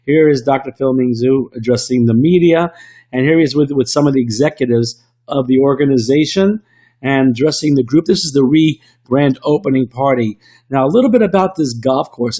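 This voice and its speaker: A man with a slightly rough voice from illness, presenting an online webinar into a computer mic in a salesy way with frequent restarts. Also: medium pitch, normal speed, darkish tone. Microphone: computer microphone.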